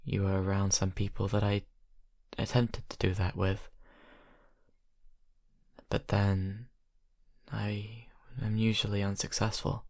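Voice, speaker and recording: A young man acting a shy college vampire who confides haltingly and softly to his best friend in an ASMR roleplay. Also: fairly low pitch, level tone, no room sound. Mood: wistful, hurt. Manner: hesitant, halting, soft-spoken confiding. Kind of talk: ASMR roleplay, intimate improvised monologue.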